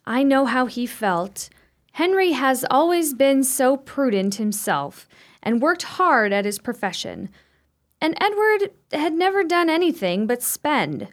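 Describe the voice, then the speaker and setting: A woman playing a composed married sister in an old-fashioned gothic radio drama, speaking with formal, genteel diction. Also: sits high.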